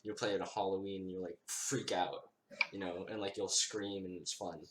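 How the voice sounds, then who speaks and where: Young man, very deep voice, loose chatty delivery full of fillers, roomy echo, faint hiss. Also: level tone. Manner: filler-heavy, laughing, quick explainer. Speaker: podcast co-host. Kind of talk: casual podcast chat. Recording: echoey room, faint hiss.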